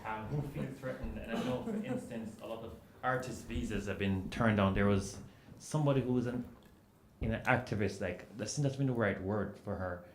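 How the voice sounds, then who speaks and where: A man speaking on a writers' panel, animated and storytelling, acting out quoted remarks. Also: on the low side, normal speed. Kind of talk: panel discussion. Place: event hall with audience. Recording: echoey room.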